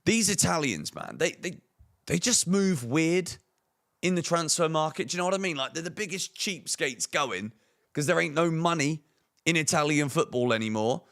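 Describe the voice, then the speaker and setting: A man hosts a football fan channel, his voice hoarse and croaky. He reads out transfer news and breaks into emphatic, opinionated rhetorical questions. His pitch sits a touch above the usual for a man. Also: sing-song, normal speed, fairly bright tone.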